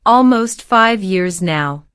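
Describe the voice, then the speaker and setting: A woman in her forties from Syria, giving polite, formal, measured answers as a citizenship applicant. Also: medium pitch, expressive, a bit bright, smooth, no room sound, clean studio sound. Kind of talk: scripted interview answers.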